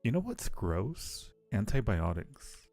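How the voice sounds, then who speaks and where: A man's voice: fairly low pitch, huge pitch swings, slow pace, a little mellow, soft background music. A man chatting casually and playfully with viewers on a livestream, teasing them affectionately. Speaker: live streamer hosting a chat.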